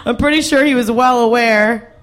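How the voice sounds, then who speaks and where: Young woman, hoarse carrying voice, profane, self-mocking, stand-up comic. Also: a little high, normal speed, background noise. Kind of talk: stand-up comedy set. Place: comedy stage with live audience.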